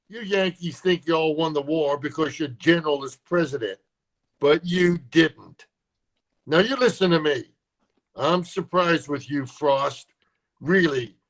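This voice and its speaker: A man's voice: fairly high pitch, close to an everyday voice, poor audio. A man playing a domineering, blustering Southern railroad tycoon, imperious and contemptuous, in a table read of a western.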